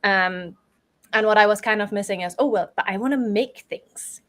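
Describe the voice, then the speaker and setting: A woman's voice: mid-range voice, moderate pace, a bit bright. A woman from Europe speaking as an interview guest, explaining things conversationally in a thoughtful, qualified way. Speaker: guest, improv theatre performer and organiser.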